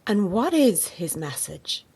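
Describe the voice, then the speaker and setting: An actress playing a blunt, sceptical reporter in an audio drama, sarcastic and confrontational. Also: female voice, medium pitch, sing-song, slow, a little mellow, husky, heavily acted. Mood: scornful. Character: defiant, sardonic female reporter, sceptical and blunt.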